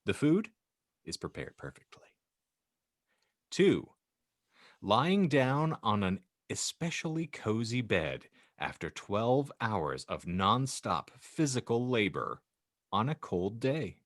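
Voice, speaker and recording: Male podcast host, low and very animated, playfully pompous and verbose, dry recording. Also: slow pace, dry recording. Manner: mock-grandiose, wordy phrasing. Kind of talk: casual comedic podcast hosting.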